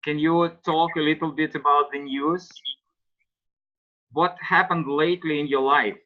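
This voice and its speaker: A man teaching English online, asking students slow, patient questions and explaining grammar. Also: on the high side, steady tone, narrow, tinny audio.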